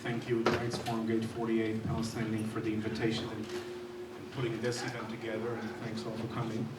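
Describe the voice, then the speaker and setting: Man in his 30s from Israel, guest speaker, halting delivery with many fillers, recorded from a distance in a roomy hall. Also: on the low side, completely flat delivery, heavy background noise. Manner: hesitant, many fillers and restarts. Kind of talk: public talk. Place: lecture hall. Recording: echoey hall from far off.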